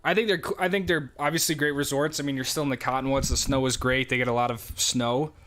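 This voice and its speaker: A young man with a New York accent, casually answering viewer questions on a livestream with a relaxed, rambling manner and frequent fillers. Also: mid-range voice, fast. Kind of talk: casual live chat.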